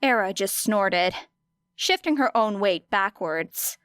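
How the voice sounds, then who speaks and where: A woman reading an audiobook story dramatically, with deliberate, expressive phrasing and weighty pauses. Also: medium pitch, clear, resonant tone, dry recording, clean studio sound. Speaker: audiobook narrator. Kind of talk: dramatic scripted fiction narration.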